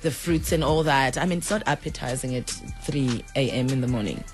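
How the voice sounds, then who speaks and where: A woman's voice: very deep voice, fairly quick, faint music behind. A South African woman in her thirties chatting as a radio interview guest, laughing often and switching into Zulu phrases mid-sentence. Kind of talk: casual radio interview chat.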